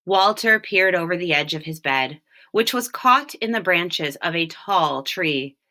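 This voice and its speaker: A woman reading a children's storybook aloud, with expressive, deliberate phrasing and short explanations. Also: on the low side. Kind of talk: read-aloud storytelling with brief picture explanations.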